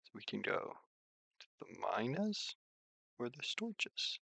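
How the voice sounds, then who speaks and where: A man's voice: medium pitch, sing-song, slow pace, gravelly, bright, forceful tone, no echo. A man streaming a visual novel, reading its narration aloud with casual commentary and sometimes stumbling over lines and rereading them. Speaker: video game streamer.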